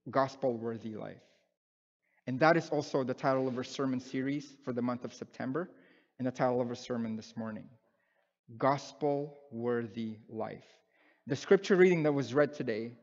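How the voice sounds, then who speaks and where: A man, preaching a sermon in a conversational way, often restarting his words. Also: medium pitch. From Philippines. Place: large church sanctuary, indoors. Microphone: headset mic. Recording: muffled sound with long hall reverb.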